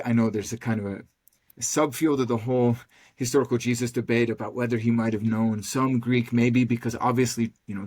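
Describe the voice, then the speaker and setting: Tentative male interviewer, middling pitch. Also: darkish tone. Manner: tentative, hedging, thinking through questions aloud. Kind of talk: conversational questioning.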